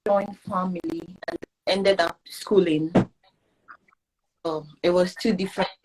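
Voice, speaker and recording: A young woman from Ghana, a guest in a casual live video chat, talkative and pausing to search for words. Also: lowish voice, fairly even intonation, darkish tone, poor audio. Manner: chatty, searching for words with fillers.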